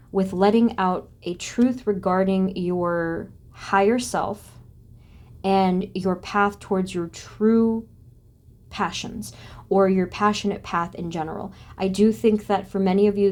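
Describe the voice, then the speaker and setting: A woman reading tarot cards for her viewers, talking softly and haltingly with many pauses and restarts. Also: mid-range voice. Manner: soft, halting, with frequent ums and restarts. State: voice briefly going hoarse and quiet. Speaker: tarot reader. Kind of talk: pick-a-card tarot reading.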